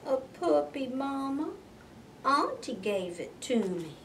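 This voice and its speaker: Lady doing a sweet obedient little possum boy, high n quiet, kinda distant w some noise. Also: sing-song, takes it slowly, heavily acted. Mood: meek, innocent. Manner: brief, quiet, innocent replies.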